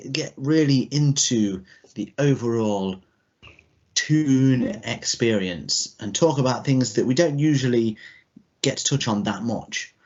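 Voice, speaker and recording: A man's voice: mid-range voice, animated, slow, a little mellow. A man in his thirties co-hosting a podcast, chatting casually in a loose, rambling way. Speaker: podcast co-host. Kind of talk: casual chat. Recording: dull lo-fi podcast audio.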